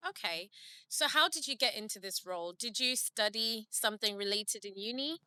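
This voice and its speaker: Crisp, bright young podcast host, female. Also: medium pitch, lively intonation, moderate pace, no echo, clean studio sound. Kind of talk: casual conversational interviewing.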